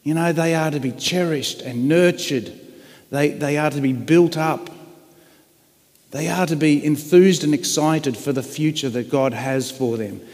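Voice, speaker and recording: A man's voice: a little high, unhurried, darkish tone. A man preaching a sermon in an earnest, reflective, plain-spoken way. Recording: long hall reverb.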